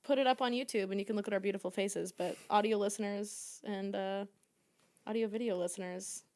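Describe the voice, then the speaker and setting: A woman's voice: medium pitch, steady tone, brisk, darkish tone. A woman in her twenties hosting a casual podcast chat, rambling and laughing often. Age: 20s. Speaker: podcast host.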